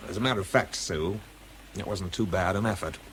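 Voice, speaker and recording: An actor as a low-voiced Englishman in a radio drama, worn down by drink and self-loathing. He is dry, terse and bitter, with noise audible behind him. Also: a little fast, close to an everyday voice, poor audio. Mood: bitter, sardonic. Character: world-weary, bitter, self-loathing man struggling with drink. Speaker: narrator and lead actor. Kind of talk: radio drama narration and dialogue.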